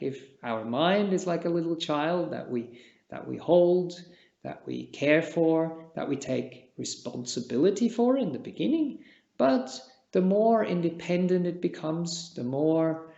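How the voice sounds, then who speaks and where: Slow, gravelly-voiced Swiss meditation teacher. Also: male voice, on the high side. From Switzerland. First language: Czech. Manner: slow, gentle and halting, with long pauses. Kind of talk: unscripted dharma talk at a retreat. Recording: echoey room, dull lo-fi sound.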